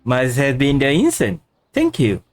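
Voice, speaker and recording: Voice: medium pitch, wildly swooping pitch, unhurried. A game streamer casually reading dialogue aloud and murmuring reactions on a livestream. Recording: game music behind the voice.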